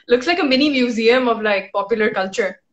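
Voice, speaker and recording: A woman's voice: fairly high pitch, moderate pace, lo-fi sound, a little hiss or hum. A woman, hosting a casual live interview from a room at home on a phone. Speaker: host and interviewer. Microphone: phone at arm's length.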